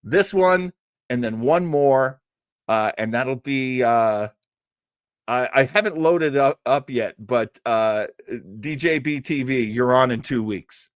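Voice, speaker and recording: A man hosting a live show, chatting casually with viewers in a halting, self-deprecating way and laughing easily. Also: medium pitch, clear, resonant tone, dry recording, clean studio sound. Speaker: host of a live old-time radio show stream. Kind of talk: casual live chat with viewers, rambling sign-off.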